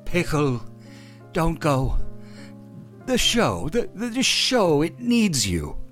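A man playing an anguished, vengeful friend in a radio play, with melodramatic, drawn-out, halting delivery. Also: on the high side, huge pitch swings, fairly bright tone, soft background music, full character voice. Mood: pleading, distraught.

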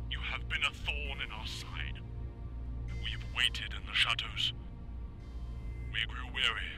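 Slow, sharp-edged menacing villain warlord. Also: male voice, medium pitch. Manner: grandiose, slow, deliberate. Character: menacing male villain warlord delivering a threatening ultimatum. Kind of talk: sci-fi audio drama acting.

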